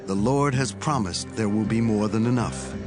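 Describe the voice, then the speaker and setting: A man's voice: fairly low pitch, moderate pace, over music, close to an everyday voice. A man playing an assured, commanding prophet of ancient Israel in a dramatized audio Bible. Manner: commanding, declarative.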